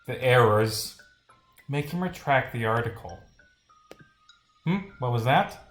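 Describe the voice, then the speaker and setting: A man's voice: mid-range voice, animated, slow pace. Rambling man streaming in a roomy space. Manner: rambling, thinking out loud. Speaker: streamer and game developer. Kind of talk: casual livestream commentary, reading script lines aloud. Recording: roomy, echoing sound with music.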